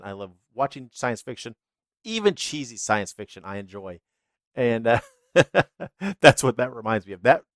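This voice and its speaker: A man's voice: mid-range voice, a bit bright, no room sound, studio quality. Stuffy-voiced man hosting a podcast. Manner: chatty, laughs, fillers. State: stuffy, congested. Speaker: podcast host. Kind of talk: casual podcast outro.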